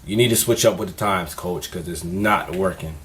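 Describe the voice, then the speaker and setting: A man in his twenties vlogging casually, candid and relaxed, with plenty of fillers as he talks through his experiences. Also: sits low, moderate pace, a little hiss or hum. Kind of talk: casual vlog advice.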